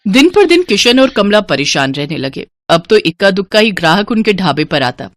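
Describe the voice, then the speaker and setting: Female storyteller for scripted moral tales; medium pitch, highly varied intonation. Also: very animated, moderate pace, no room sound, clean studio sound.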